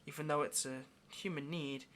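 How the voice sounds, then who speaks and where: Man voicing a devoted demon, bright, higher-pitched voice. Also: fairly even intonation, moderate pace, a little hiss or hum, close to an everyday voice. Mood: devoted, yearning. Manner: hesitant, tender, obsessively devoted, addressing listener directly. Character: devoted yandere male demon, lonely, clingy, tender, menacing. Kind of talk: intimate ASMR audio roleplay.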